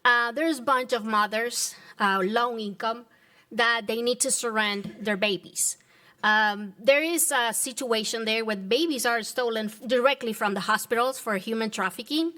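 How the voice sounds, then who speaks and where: A woman from the Dominican Republic making a public comment at a government meeting, earnest and halting as she searches for words. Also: on the high side, pushed and bright. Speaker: resident speaking at public comment.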